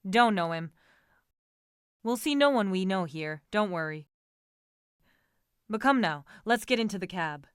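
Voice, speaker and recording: Woman acting a firm, impatient young gentleman. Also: mid-range voice, animated, a little slow, long pauses, clear, resonant tone, dry recording, studio quality, lightly acted. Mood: brisk reassurance. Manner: firm, emphatic. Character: impatient, commanding young gentleman lover, reassuring his companion.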